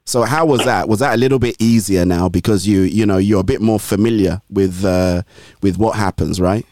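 Gospel radio host, male, deep-ish voice, stammering and preachy; dry studio sound. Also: normal speed, darkish tone, dry recording. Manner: stammering restarts, preachy encouragement.

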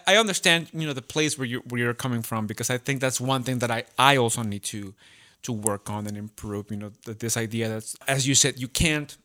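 Guy with a mid-range voice chatting thoughtfully, kinda halting, repeats himself while thinking. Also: mid-range voice, pushed and bright. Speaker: theatre company podcast speaker. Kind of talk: casual reflective discussion.

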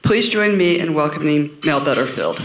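A woman's voice: deep voice, lively intonation, moderate pace, roomy sound, loud music behind the voice. A woman hosting a public forum, announcing the program and reading out guest introductions. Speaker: event host.

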